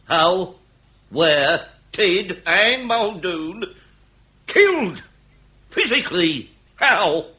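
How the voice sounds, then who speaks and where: An exasperated, sardonic British police inspector from a period radio comedy. His voice is bright and forceful, swinging widely in pitch. He speaks in pompous, emphatic phrases. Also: sing-song, extremely slow, long pauses, full character voice. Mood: exasperated, incredulous. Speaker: actor in radio comedy drama.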